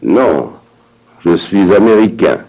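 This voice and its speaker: A man reading scripted dialogue for a language course with an expressive, theatrical delivery. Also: deep voice, slow, vocal fry, low-quality recording.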